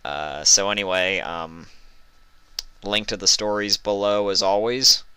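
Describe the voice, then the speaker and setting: A man reading stories as a host, with expressive narration into a close microphone. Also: low-pitched, unhurried, clean tone, no room sound. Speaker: story reader and channel host. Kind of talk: expressive scripted storybook narration with casual introduction.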